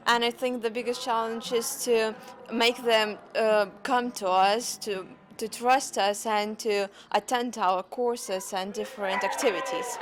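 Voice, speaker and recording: Higher-voiced young woman, hesitant. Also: fairly even intonation, measured pace, noisy background. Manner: hesitant, with fillers. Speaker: interviewee.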